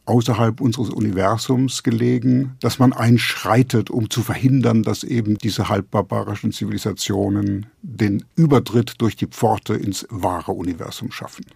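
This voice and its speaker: A man with a fairly low, heavily creaky voice talks as a novelist in a literary interview, in a close, dry sound. He explains his thinking in in long, reflective, intellectual sentences. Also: steady tone, moderate pace, hardly any pauses, a little mellow, no echo. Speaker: novelist guest discussing own book.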